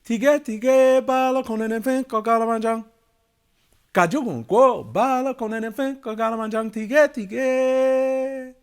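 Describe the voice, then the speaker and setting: Man as a high-singing storybook bird. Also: unhurried, clean tone. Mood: enchanting song. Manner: rhythmic, repeated chanting song. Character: singing bird in a baobab tree, enchanting.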